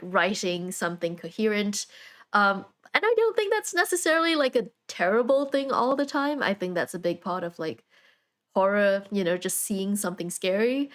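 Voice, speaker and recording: A woman hosting a video essay, talking in an informal, conversational and wry way. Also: medium pitch. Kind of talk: casual video essay commentary.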